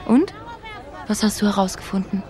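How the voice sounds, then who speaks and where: A woman's voice: medium pitch, animated, moderate pace, mellow, a faint crowd, natural voice. An actress playing a wry, curious woman traveller in a radio drama, with a dry, teasing manner.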